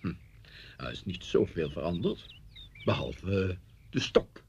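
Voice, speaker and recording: An actor playing a reserved, middle-aged veteran schoolmaster in a radio thriller, speaking hesitantly and guardedly. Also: male voice, low-pitched, very animated, unhurried, gravelly, darkish tone, a little hiss or hum, exaggerated voice. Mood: reflective. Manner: hesitant, guarded, clipped replies. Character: reserved, uneasy veteran schoolmaster, older man. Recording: muffled 1970s radio drama recording.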